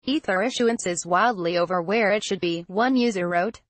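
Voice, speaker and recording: A woman narrates a written news report in a formal, read-aloud manner. Her pitch sits in the middle range for a woman, and the sound is very dry, with no room around it. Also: normal speed, no room sound. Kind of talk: scripted narration of a news article.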